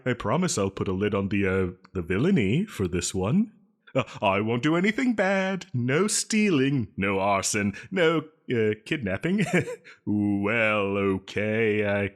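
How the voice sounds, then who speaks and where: A theatrical, lovestruck male supervillain, in an audio drama. He is needy, possessive and self-pitying, drawing words out slowly while his pitch swoops dramatically. Giggles and stammers break up his melodrama. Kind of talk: scripted roleplay audio drama.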